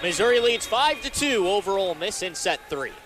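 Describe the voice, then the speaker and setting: A man's voice: high-pitched, big pitch swings, fairly quick, a bit bright, soft background music. A young man calling live volleyball play-by-play as a radio announcer.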